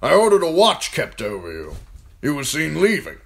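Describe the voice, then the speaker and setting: A man voicing a gruff, loud old commander, blunt and needling. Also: fairly high pitch, wildly swooping pitch, normal speed, voice pushed up, exaggerated voice. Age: older. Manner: blunt, needling, barking questions. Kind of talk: audiobook character voice.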